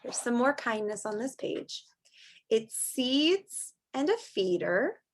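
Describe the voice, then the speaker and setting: Female primary teacher, slightly high voice, expressive read-aloud for young kids, simple explanations and questions. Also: slow. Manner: explains words simply, asks children questions. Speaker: elementary teacher reading aloud to children. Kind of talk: storybook read-aloud lesson for young children. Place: online video session with screen sharing.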